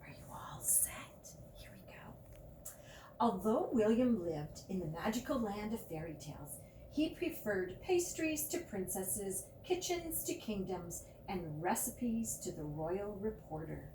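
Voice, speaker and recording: A woman reading a children's picture book aloud at a library storytime, with drawn-out emphasis and chatty asides. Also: mid-range voice, animated. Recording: roomy, distant mic with faint noise.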